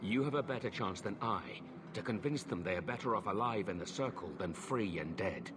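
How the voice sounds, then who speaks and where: An actor voicing a weary, earnest middle-aged knight in a video game, speaking in a formal, measured way. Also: male voice, lowish voice, expressive, background noise, close to an everyday voice. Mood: grave, urging. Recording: music behind the voice.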